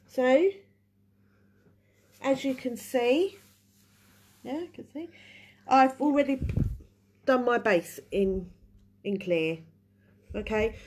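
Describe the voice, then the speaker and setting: Woman with a head cold, nail tutor, chatty live demo, slightly high voice. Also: breathy edge. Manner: chatty, restarts while working. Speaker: nail technician teaching a live class.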